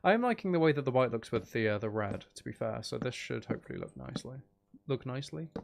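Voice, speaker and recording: Creaky-voiced man thinking aloud while gaming. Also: mid-range voice, lively intonation, fast talker. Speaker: gaming streamer. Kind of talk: casual live gameplay commentary. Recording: faint background noise and clicking.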